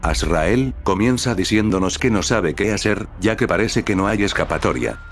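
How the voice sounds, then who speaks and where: A man narrating a scripted horror recap over dark music. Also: low-pitched, expressive, moderate pace. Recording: background music under the narration.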